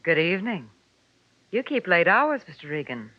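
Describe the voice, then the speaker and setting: A woman's voice: lowish voice, sing-song, moderate pace, no room sound. An actress playing a persistent, evasive blonde woman in a 1940s radio detective drama, formal and insistent. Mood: coaxing. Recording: dull, dry 1940s radio recording.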